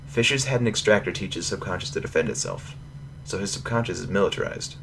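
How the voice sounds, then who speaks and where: A man playing a businesslike heist point man in an amateur audio drama, matter-of-fact and steady. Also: deep voice, quick pace, vocal fry, slight background noise, heavily acted, rough recording. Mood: defensive, steady.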